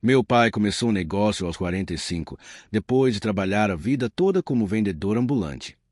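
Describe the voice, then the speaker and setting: A man reading the lines of a wealthy businessman, now humbled and reflective, speaking in a measured way. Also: fairly low pitch, expressive, a little mellow, dry recording, clean studio sound.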